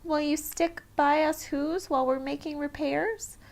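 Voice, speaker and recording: A woman voicing a tiny, cheerful and grateful male town mayor with a high, piping, eager manner. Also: steady tone, a little slow, a little mellow. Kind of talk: storybook read-aloud.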